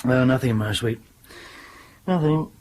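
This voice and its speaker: Husky, wry airline captain, played by an actor. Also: male voice, mid-range voice, measured pace, darkish tone, lightly acted. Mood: wry, resigned. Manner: wry, resigned. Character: dejected male airline captain, wry and boozy. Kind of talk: radio drama acting.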